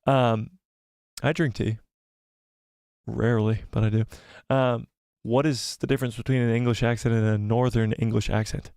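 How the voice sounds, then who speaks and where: An excitable young man hosts a casual live stream, rambling and laughing between comments. His voice sits in a middle range and sounds a little worn, as if he is losing it. The sound is dry and close to the mic. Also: medium pitch, moderate pace, gravelly, clean tone, dry recording. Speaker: YouTube channel host.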